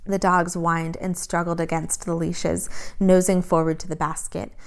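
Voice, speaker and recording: A woman reading a children's novel aloud as an expressive story narrator. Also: fairly low pitch, moderate pace, gravelly. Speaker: story reader.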